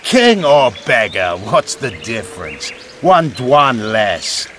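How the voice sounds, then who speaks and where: An actor playing a proud, scornful elf rebel commander in a video game, taunting and deliberate in his delivery. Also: medium pitch, sing-song, a bit bright, slight background noise.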